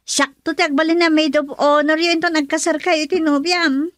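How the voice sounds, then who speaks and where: A woman's voice: high voice, fairly even intonation, normal speed, a bit bright, dry recording, clean studio sound. A woman playing an emotional young woman in an Ilocano radio drama, with an Ilocano Filipino accent. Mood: bright, affectionate. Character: young woman, emotional niece and sweetheart Linlin.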